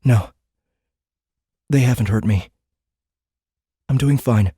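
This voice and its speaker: A man's voice: medium pitch, takes it slowly, warm tone, breathy edge, no room sound, clean studio sound, pitched above the performer's own voice. Man playing a blunt, defiant teenage boy. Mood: putting on bravery. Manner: blunt, defensive. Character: fourteen-year-old boy recruit, defiant, hurt, brave.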